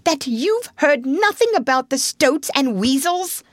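A woman reading a children's storybook, voicing an earnest, excitable, scolding male water rat who speaks with emphasis. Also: high-pitched, measured pace, no room sound, studio quality, pitched above the performer's own voice, exaggerated voice. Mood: agitated, incredulous.

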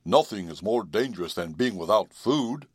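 A man's voice: on the low side, lively intonation, normal speed, darkish tone, breathy edge, dry recording. Guy doing a grumpy old storybook horse, worn out and scornful, dry close sound. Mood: grumbling. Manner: grumbling, scornful. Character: weary, scornful, grumbling old male cab horse.

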